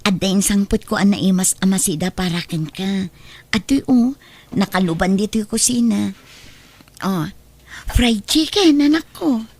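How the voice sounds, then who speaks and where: A woman's voice: medium pitch, expressive, a little slow, long pauses, a little mellow. An actress playing a poor, devoted, self-sacrificing mother in an Ilocano drama, humble and earnest, with an Ilocano Filipino accent. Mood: tender. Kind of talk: Ilocano radio-style drama acting.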